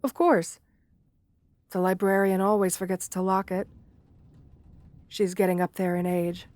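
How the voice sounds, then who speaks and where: A woman's voice: lowish voice, level tone, a little slow, darkish tone, no room sound, close to an everyday voice. A woman voicing a shy, lonely, romantic gargoyle in an ASMR fantasy roleplay, speaking with formal, polite diction and bashful hesitations. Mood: kind, teasing. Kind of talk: ASMR fantasy roleplay, intimate one-sided dialogue.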